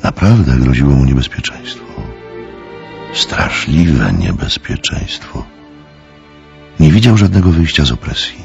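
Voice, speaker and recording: A man's voice: a bass voice, vocal fry, a little mellow, highs cut off. A man narrating a radio play in a scripted, dramatic storytelling style.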